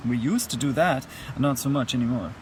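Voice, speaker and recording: A man's voice: mid-range voice, brisk, slight background noise, close to an everyday voice. Easygoing older man in mourning, played by an actor. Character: gentle older Irish-family relative in mourning, easygoing, wry. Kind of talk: radio play dialogue.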